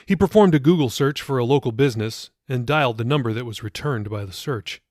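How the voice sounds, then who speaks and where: A man's voice: medium pitch, expressive, fairly quick, a little mellow, no room sound, clean studio sound. A man reading his own novel aloud as scripted audiobook narration.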